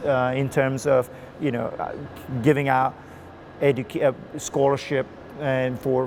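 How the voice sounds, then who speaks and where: A man from Indonesia who coaches swimming, talking loosely in a casual interview and looping through fillers like "you know." His voice has a gravelly edge over a middling pitch. A faint hum of noise sits under the recording. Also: a little mellow. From Indonesia (Bali). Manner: rambling, many fillers and restarts. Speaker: swim coach.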